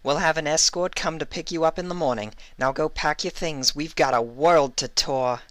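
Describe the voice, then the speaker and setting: A man voicing a brisk, smooth-talking female pony talent scout with chummy, salesy patter in a story reading. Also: a little high, fairly bright tone, no room sound, voice pushed up, lightly acted. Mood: persuasive. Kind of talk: fan fiction character reading.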